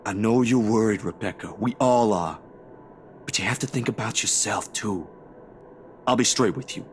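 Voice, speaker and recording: A man's voice: on the low side, moderate pace, a little hiss or hum, natural voice. An actor in a story game playing a simple, caring man who talks in a plain, polite, reassuring way. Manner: plain-spoken, reassuring, informal. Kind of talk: dramatic game dialogue.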